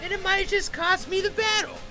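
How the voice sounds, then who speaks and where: A man's voice: very high voice, fairly even intonation, fairly quick. A man playing an unhinged, vengeful young supervillain in an audio drama, menacing and grandiose in his theatrical taunts. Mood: menacing, taunting. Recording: loud music bed behind voice.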